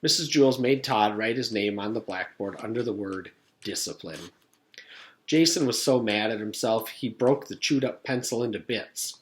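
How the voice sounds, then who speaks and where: A man's voice: on the low side, moderate pace. A man narrating a children's story in a read-aloud style, as a teacher would for pupils.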